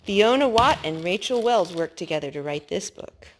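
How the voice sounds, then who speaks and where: Woman, animated picture-book reader, swooping expressive pitch, coaxing and emphatic, child-directed, faint background noise. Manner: coaxing questions and emphatic, drawn-out key words. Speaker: storyteller reading picture books aloud to small children. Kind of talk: animated read-aloud to young children.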